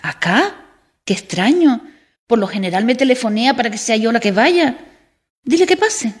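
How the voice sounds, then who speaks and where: A woman acting an assured, supportive leader of a women's house in a radio drama. Her voice is pitched clearly high. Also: expressive, normal speed. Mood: gracious.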